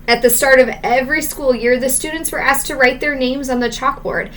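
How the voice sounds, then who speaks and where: Bright-voiced woman teacher reading a storybook aloud. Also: high voice, a little hiss or hum. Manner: expressive, measured with emphasis on key words. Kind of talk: children's storybook read-aloud with asides.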